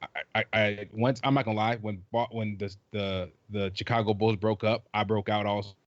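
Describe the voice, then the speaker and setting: A middle-aged man with a low voice chats as a podcast guest, joking around and restarting his sentences. He comes through a dull, lo-fi remote line. The sound is dry. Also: moderate pace, no echo. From Trinidad, raised in South Carolina. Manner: jokey and animated, with many restarts. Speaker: podcast guest, basketball writer. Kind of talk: casual chat.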